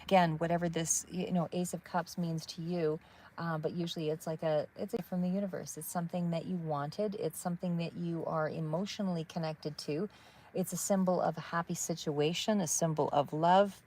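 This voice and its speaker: A woman giving a live tarot reading in a calm, soothing voice that wanders between thoughts with many fillers. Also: on the low side, moderate pace, slight background noise, poor audio. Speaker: tarot reader.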